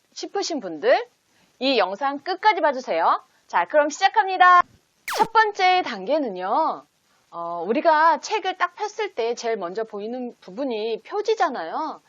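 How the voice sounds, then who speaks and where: A woman's voice: a little high, fairly bright tone, dry recording, dull audio. A woman hosting an instructional talk for parents, explaining step by step and posing example questions as if to a child. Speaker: host teaching parents how to read picture books with children. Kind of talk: instructional video talk in numbered steps.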